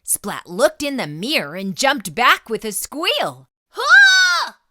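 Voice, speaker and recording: A woman with a high, bright voice reads a children's story aloud. Her storytelling is dramatic and hugely animated, paced slowly for young listeners. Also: no echo, studio quality. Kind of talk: expressive children's book read-aloud.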